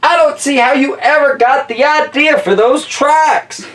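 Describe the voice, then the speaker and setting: A man voicing an excited, glad-handing small-town mayor from a storybook, hearty and gushing. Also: extremely high pitch, unhurried, voice pushed up. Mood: delighted.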